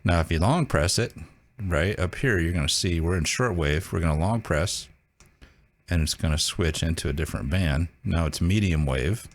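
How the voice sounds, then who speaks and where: A man hosting a casual hands-on tutorial, talking informally and haltingly as he thinks aloud. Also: low voice. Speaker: video host demonstrating a radio.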